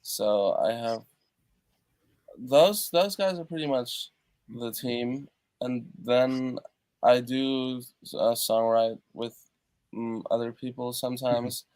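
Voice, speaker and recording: A man from Europe, a musician guest on an interview over a video call from his treated home studio, talking in a halting way with many fillers and restarts. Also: mid-range voice, steady tone, darkish tone, no room sound.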